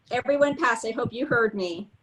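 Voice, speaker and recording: A woman with a Queens accent reporting election results on a video call, slow and tentative. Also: fairly high pitch, background noise. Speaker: staff member reporting vote results.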